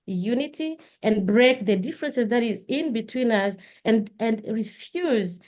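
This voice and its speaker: A woman's voice: fairly high pitch, a little mellow. Congolese woman on a panel call, earnest, lots of uh and um, kinda muffled lo-fi sound. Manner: earnest, halting with many fillers.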